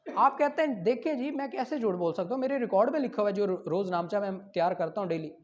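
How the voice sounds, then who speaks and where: A man with a Pakistani accent lecturing on law, reading out articles and explaining them with rhetorical questions and examples. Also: sits high. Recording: dull lo-fi audio.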